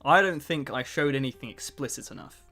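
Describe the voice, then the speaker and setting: A man's voice: medium pitch, expressive, brisk, bright, forceful tone, faint music behind. Chatty self-mocking man in his twenties. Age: 20s. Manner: chatty, self-mocking, answers chat between tasks. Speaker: streamer. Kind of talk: casual livestream chat.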